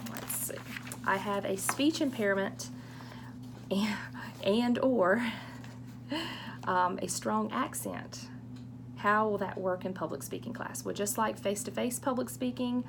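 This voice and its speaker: Southern woman with a gravelly, reassuring voice. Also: mid-range voice, noisy background. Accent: Southern US, eastern North Carolina. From eastern North Carolina. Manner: friendly, reassuring, chatty with small asides. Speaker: college public speaking instructor. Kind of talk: casual recorded course Q&A.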